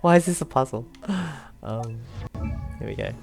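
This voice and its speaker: Gamer with a creaky voice, chatting while playing. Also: medium pitch, expressive, normal speed, darkish tone, faint music behind. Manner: talks to self and viewers, exclaims, giggles often. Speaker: let's play video host. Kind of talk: casual gaming commentary, reacting while playing.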